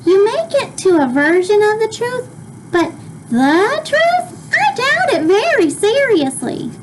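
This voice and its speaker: A woman's voice: extremely high pitch, sing-song, heavy background noise, pitched above the performer's own voice, full character voice. A woman reading aloud voices a pompous, doubtful know-it-all boy. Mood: lofty. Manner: pompous, drawn-out.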